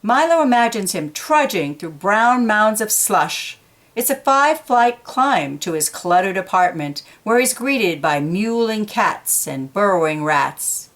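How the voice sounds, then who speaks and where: A woman's voice: mid-range voice, a bit bright. A woman reading a picture book aloud to children, mixing storytelling with informal conversational asides about the pictures. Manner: explains pictures in informal asides between read lines.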